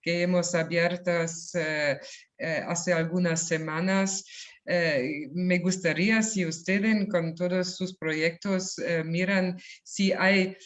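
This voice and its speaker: A woman speaking Spanish as a non-native speaker, heading an EU culture program, giving halting webinar remarks full of restarts and fillers over a video call. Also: lowish voice, steady tone. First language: not Spanish. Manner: hesitant, many restarts and fillers. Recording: dull lo-fi video call audio.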